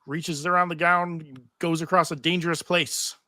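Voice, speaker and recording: Bright-voiced man narrating scenes. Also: a little high, steady tone, moderate pace, dry recording, studio quality. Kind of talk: reading stage directions at live table read.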